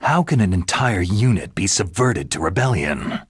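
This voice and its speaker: A man playing a gruff, skeptical veteran soldier in a video game, terse and questioning, with a gravelly rasp. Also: sits low, lively intonation, normal speed, no echo, studio quality. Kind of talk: video game cutscene acting.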